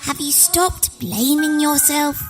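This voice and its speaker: A woman's voice: high-pitched, slow pace, faint music behind. A woman playing a stern, eerie little girl who runs a supernatural lift, prim and imperious. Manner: prim, imperious, crisply commanding. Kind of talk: live audio horror drama. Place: theatre stage, live performance.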